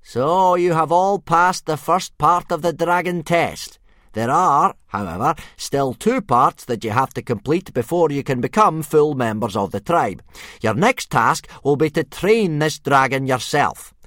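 A man voicing a gruff, blustering male Viking drill instructor who bellows at his young recruits. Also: a little high, expressive, a little slow, fairly bright tone, no echo, studio quality, voice pushed up. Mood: stern.

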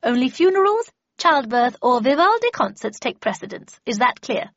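A woman voicing a stern, sharp-tongued, commanding older woman in an audiobook, brusque and brooking no argument. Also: very animated, moderate pace, dry recording, dull audio. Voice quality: low growl at times. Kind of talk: audiobook character voice.

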